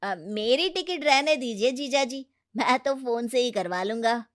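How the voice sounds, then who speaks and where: A woman voicing a cocky, excitable young male student in a cartoon moral story. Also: a little high, measured pace.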